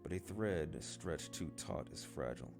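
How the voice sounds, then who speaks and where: Storybook father read by a man: stern yet caring, measured, very low and gravelly. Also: lively intonation, music in the background, exaggerated voice. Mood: worried. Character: concerned, stern father of a gifted boy.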